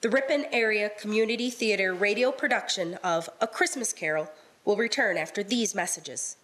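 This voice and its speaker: A woman's voice: mid-range voice, moderate pace, low-quality recording. A woman reading dramatic scripted narration for a radio play. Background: loud music.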